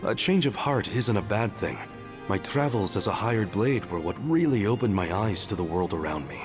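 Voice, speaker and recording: Low-voiced male game mercenary, measured, dry but kindly, music behind; man acting. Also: lively intonation, close to an everyday voice. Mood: calm. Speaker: mercenary character.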